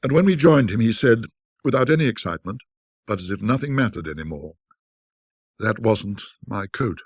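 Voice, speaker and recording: Guy reading an old ghost story, raspy voice, formal n measured, echoey room sound. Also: mid-range voice, expressive. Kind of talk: scripted literary narration, classic ghost story reading. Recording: muffled band-limited audio, roomy echo.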